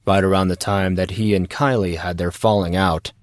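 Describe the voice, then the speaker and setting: A man's voice: deep voice, steady tone, normal speed, dry recording, clean studio sound, close to an everyday voice. A man voicing a laid-back, matter-of-fact teenage schoolboy with a casual, offhand delivery. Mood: dry.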